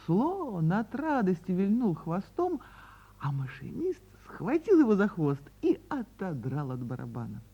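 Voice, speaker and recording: A woman narrates a fairy tale in the manner of a radio theatre actress. Her husky voice rises and falls widely, lingering on words with dramatic pauses. The recording is slightly dull, as from older radio. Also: mid-range voice, wildly swooping pitch. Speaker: actress reading a story.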